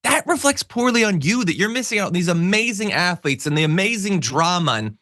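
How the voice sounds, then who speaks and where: A man's voice: sits high, expressive, brisk, pushed and bright, no room sound, studio quality. A man hosting a political talk show, giving casual, opinionated commentary in an emphatic, informal, wry way.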